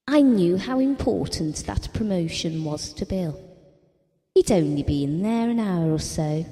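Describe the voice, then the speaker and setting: A woman's voice: mid-range voice, dark tone. An actress playing a blunt, bitter wife and mother, confiding her memories in an audio drama. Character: Ellen, wife and mother recalling a family tragedy, bitter and blunt. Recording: reverberant hall-like sound.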